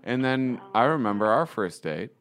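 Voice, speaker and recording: A man comedian chatting casually and telling an animated story. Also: mid-range voice, moderate pace, faint music behind. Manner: animated storytelling, quotes himself. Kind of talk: casual chat.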